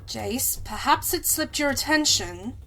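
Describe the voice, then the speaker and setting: Moonfolk wise woman, female performer, fantasy audio drama: slightly high voice, measured, firm, formal, principled. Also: expressive, pushed and bright, faint music behind, full character voice. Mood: dry reproach. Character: composed, principled moonfolk woman sage and mage.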